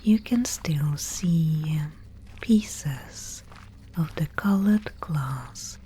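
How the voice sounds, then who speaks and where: A woman voicing a mysterious, solemn Spindlemaid guide in a whispered ASMR dark-fantasy roleplay, with breathy, incantatory, archaic speech. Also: low-pitched, expressive, a little hiss or hum, exaggerated voice. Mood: calm, ominous. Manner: slow, incantatory, archaic phrasing.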